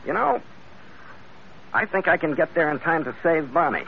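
A man's voice: a little high, very animated, moderate pace, creaky, slight background noise. An actor playing a tough, wry sea captain who narrates his own adventures in 1940s radio style. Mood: wry, firm. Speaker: lead actor narrating the story. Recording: dull old radio recording, loud music behind.

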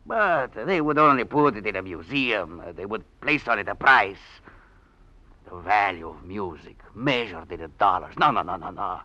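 A dull old radio recording of an elderly maestro. His voice is lowish and bright, proud and protective, and he halts and repeats himself for emphasis. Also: no echo. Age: older. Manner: halting, repeats words for emphasis. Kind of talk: 1940s radio drama acting.

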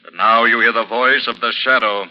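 An invisible avenger voiced by a man, ominous and taunting, in vintage radio style. He speaks deliberately as a stern voice of justice, on a dull old recording. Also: medium pitch, fairly even intonation, close to an everyday voice. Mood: mocking, stern. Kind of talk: 1940s radio drama acting.

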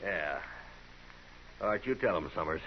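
Greedy gambler, American, mocking, very lively delivery, 1950s radio western with dull, faintly hissy sound. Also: male voice, low-pitched, measured pace. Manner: mocking, combative. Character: smug, sneering, greedy frontier gambler. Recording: dull, noisy old 1950s radio recording.

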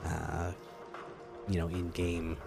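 A man hosting a casual gaming podcast, chatty and relaxed with lots of fillers, slightly hoarse from a cold. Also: low voice, slow pace, over music. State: catching a cold, losing voice. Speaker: podcast host.

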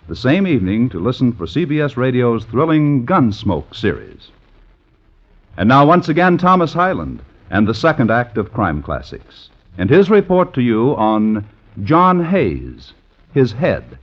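A man's voice: medium pitch, big pitch swings, unhurried. A man announcing in an emphatic, formal 1950s radio promotional style. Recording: muffled vintage radio recording.

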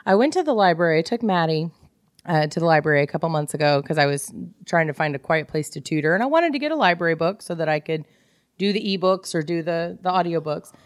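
A woman with an American accent and a fairly low voice talks casually as a podcast co-host. She is blunt and irreverent, joking as she goes. The sound is dry, with little room around it. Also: expressive, fast talker, dry recording. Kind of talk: casual book club chat.

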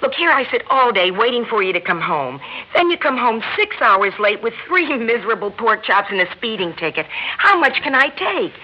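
An actress playing a loving, long-suffering young American wife in a classic radio drama, gently scolding and pleading. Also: female voice, fairly high pitch, sing-song, normal speed, few pauses. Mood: upset. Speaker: actor. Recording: muffled old radio recording, faint noise.

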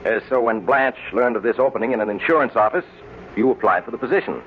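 An actor playing a patient, reassuring doctor in an old-time radio drama, explaining things in a measured, gently paternal way. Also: male voice, medium pitch, natural voice. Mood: calm, explanatory. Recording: dull old radio recording, faint noise.

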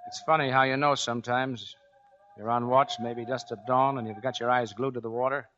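An actor playing a tough, commanding American Navy chief in a 1940s radio drama, with gruff, slangy straight talk. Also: male voice, medium pitch, steady tone, fairly quick, fairly bright tone, soft background music, natural voice. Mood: tense, building. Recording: dull, band-limited 1940s radio recording.

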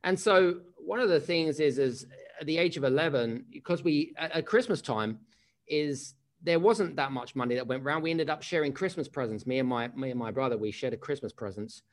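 A man's voice: fairly high pitch, animated, fairly quick. A man, giving a chatty motivational keynote with many restarts and questions to the audience. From England. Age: 30s. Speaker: keynote speaker.

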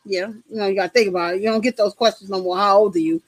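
A woman's voice: medium pitch, fairly even intonation, very fast, no room sound, low-quality recording. A woman in her late thirties co-hosting a call-in talk show, chatting casually with many fillers and easy laughs. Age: 30s. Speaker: radio show co-host.

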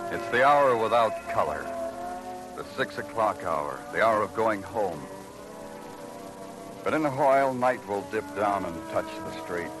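Low-voiced, hard-boiled radio cop. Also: male voice, poor audio. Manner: hard-boiled, terse, brooding. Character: tough, weary big-city police detective narrating his own case. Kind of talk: 1950s radio crime drama acting. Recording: old radio recording with music.

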